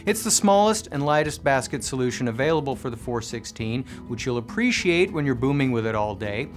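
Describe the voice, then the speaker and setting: A man presenting a scripted product review, explaining things with clear enunciation. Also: mid-range voice, expressive, brisk, faint music behind. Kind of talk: scripted product review narration with live demo commentary.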